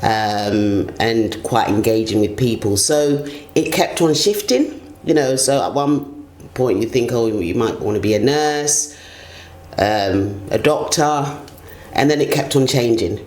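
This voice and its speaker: A woman's voice: rumbling low, expressive, a little slow, darkish tone. A middle-aged woman, giving reflective, conversational answers as an interview guest. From Ghana and the UK. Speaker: interview guest, senior HR executive. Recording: roomy echo, clear background noise.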